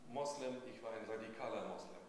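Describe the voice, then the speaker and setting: A man's voice: fairly low pitch, fast, a little mellow. A man interpreting a sermon live, phrase by phrase, as a preacher's consecutive interpreter. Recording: noisy echoey hall with long reverb.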